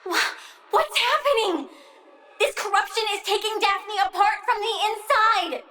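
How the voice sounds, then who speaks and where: A woman's voice: very high-pitched, a little slow, sharp tone, recorded from a distance, a little hiss or hum, full character voice. A woman voicing a childlike, plant-powered villainess in a video game, girlish and bratty, petulant and gleefully menacing, with a sing-song delivery. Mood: petulant, upset. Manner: bratty, sing-song, swinging from cutesy to threatening. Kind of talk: video game character voice lines.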